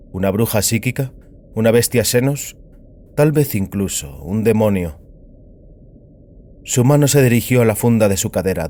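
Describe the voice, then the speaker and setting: A man's voice: on the low side, dark tone. A man giving a scripted narration of a science fiction audiobook. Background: music.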